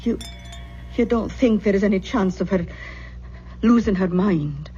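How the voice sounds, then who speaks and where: A woman's voice: medium pitch, a little slow, dark tone, close to an everyday voice. An actress playing an anxious, kindly Scottish aunt, hesitant and motherly, in an old-time radio drama. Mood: worried. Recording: muffled, faintly noisy old radio recording.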